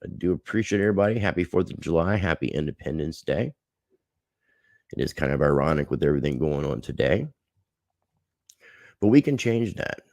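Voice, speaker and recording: A man's voice: a bass voice, expressive, fairly quick, creaky, pauses often, a little mellow, dry recording. A man hosting a livestream, chatting casually and earnestly to his viewers. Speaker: livestream host.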